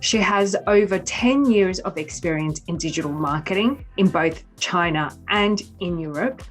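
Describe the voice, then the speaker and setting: Woman host, lowish voice, friendly interview chat, faint music underneath. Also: moderate pace.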